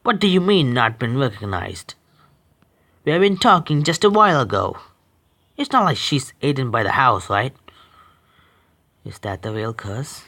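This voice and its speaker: A young man reading a horror visual novel aloud, stumbling and correcting himself with quick apologies and reactions. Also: medium pitch, big pitch swings, sharp tone.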